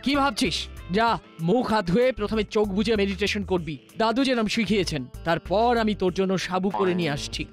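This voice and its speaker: A woman's voice: medium pitch, fairly quick, faint music behind, natural voice. An actress voicing a bossy, firm mother who nags her young son in a cartoon. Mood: scolding. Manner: nagging, exasperated, firm. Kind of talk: cartoon voice acting.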